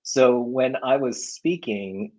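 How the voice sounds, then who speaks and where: A man's voice: mid-range voice, takes it slowly, warm tone. A man raised in the United States, answering interview questions in a hesitant way with many restarts and fillers. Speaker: guest filmmaker.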